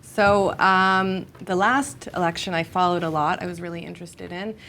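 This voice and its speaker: A woman from Canada speaking as a guest on a news panel, in a low, gravelly voice full of fry. She hesitates and searches for words, with faint background noise behind her. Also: fairly even intonation, moderate pace, a bit bright. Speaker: studio guest. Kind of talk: casual interview chat.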